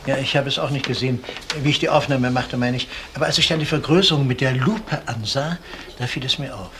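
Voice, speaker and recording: An actor playing a courteous, hesitant elderly pensioner with a formal way of talking in a German crime radio play. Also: male voice, mid-range voice, fast, fairly bright tone, a little hiss or hum, lightly acted. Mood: tentative, polite. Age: 60s or older. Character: polite, fussy old male pensioner and hobby photographer.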